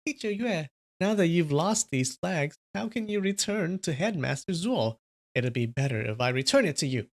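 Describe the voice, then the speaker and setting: A man's voice: a little high, animated, normal speed, voice pushed up. A man voicing a teasing woman of a poison sect in a martial arts story, playful and taunting.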